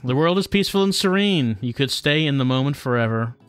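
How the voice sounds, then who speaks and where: A man's voice: mid-range voice, expressive, a little fast, smooth, no room sound, faint music behind. A man doing casual livestream commentary and reading game text aloud, in a wry, self-deprecating manner. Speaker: game streamer.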